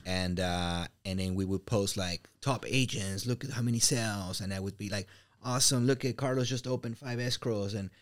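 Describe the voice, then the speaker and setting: A man from Mexico with a Mexican Spanish accent, an immigrant business owner telling stories as a podcast guest, animated, repeating words and using many fillers. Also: lowish voice, moderate pace. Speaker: real estate brokerage owner, guest. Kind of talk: casual podcast interview.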